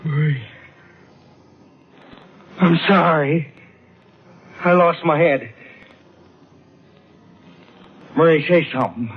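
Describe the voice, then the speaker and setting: An actor playing a guilt-ridden, nervous murderous thief in an old-time radio crime drama, tense, faltering and dramatic. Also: male voice, on the high side, slightly rough, full character voice. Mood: panicked, remorseful. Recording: tinny vintage radio recording with music.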